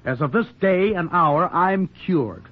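An actor playing a plainspoken, wounded young drugstore owner in 1940s radio drama style. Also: male voice, high-pitched, animated, measured pace, slightly rough, exaggerated voice. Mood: bitter, reproachful. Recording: muffled, tinny 1940s radio recording.

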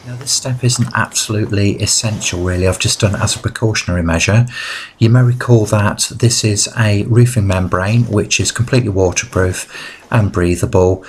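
A man with a very low voice hosts a DIY how-to video. He explains things step by step in a conversational way, talking straight to the viewer. There is some faint noise and clicking in the recording. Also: normal speed. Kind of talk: informal how-to narration.

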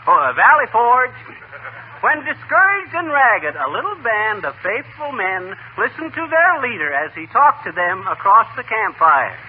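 An actor playing a boastful, blustering American husband, pompous and folksy, in 1940s radio comedy style. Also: male voice, high-pitched, sing-song, normal speed. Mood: grandly orating. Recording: tinny, noisy 1940 radio broadcast recording.

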